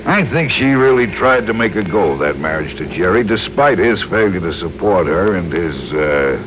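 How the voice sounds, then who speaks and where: An actor playing an earnest male insurance man who explains things deliberately and carefully in an old radio crime drama. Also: low-pitched, animated. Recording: muffled, noisy old radio recording.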